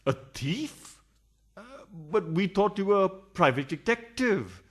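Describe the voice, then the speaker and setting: A man plays a philandering husband in a radio farce. His rough, husky voice is mid-pitched but jumps about widely. He blusters and accuses, suspicious and tight-fisted. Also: sing-song, slow pace, heavily acted. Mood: outraged. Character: blustering, suspicious, stingy male adulterous husband. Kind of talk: farcical radio play acting.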